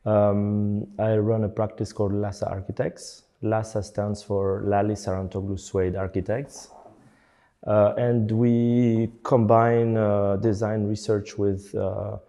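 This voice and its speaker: A middle-aged man from Belgium talks through his answers slowly, with many fillers and restarts. His voice sits low and has a dark, mellow tone. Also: flat delivery. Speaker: architect and teacher. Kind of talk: reflective interview answer.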